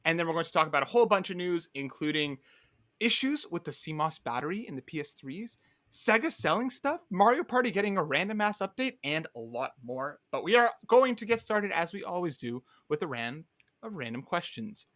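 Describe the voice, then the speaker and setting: A man's voice: on the high side, big pitch swings, fairly quick, a bit bright, no echo, clean studio sound. A man hosting a podcast with casual, jokey, rambling banter among friends. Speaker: podcast host.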